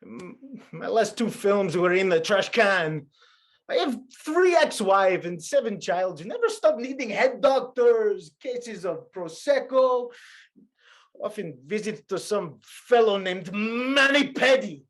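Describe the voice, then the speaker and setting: An actor's flamboyant Italian movie director, Italian accent, broken English, pleading and theatrical, very animated pitch, comedy table read. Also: takes it slowly. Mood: weary, complaining.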